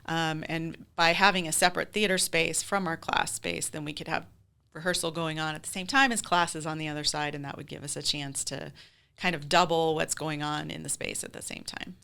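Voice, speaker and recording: Low-voiced woman chatting in an interview. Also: steady tone, a little fast, vocal fry, pushed and bright, clear, resonant tone. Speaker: community theater group president, interview guest. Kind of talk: conversational interview.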